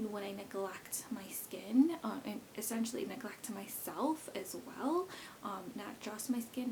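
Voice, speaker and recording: A woman in her thirties chats through a beauty vlog in a loose, rambling way, full of fillers and restarts. Her voice has a gravelly, creaky texture at a middle pitch. A faint hiss sits under the recording. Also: dark tone, rough recording. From South Korea. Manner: chatty and rambling, many fillers. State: pregnant. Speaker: beauty vlogger. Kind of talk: casual talk to camera.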